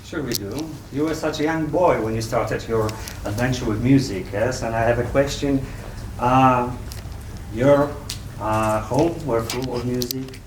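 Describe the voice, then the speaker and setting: A man with a Polish accent, a journalist putting questions in halting, non-native English at a press conference. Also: medium pitch. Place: indoor press conference room. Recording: echoey room, distant mic, faint noise.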